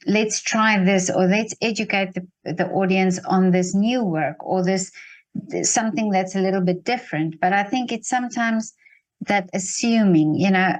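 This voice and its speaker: A woman in her sixties with a South African accent, talking reflectively and haltingly as an interviewer, often breaking off to search for words. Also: mid-range voice. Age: 60s. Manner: hesitant, searching for words with many broken-off phrases. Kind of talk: reflective conversation.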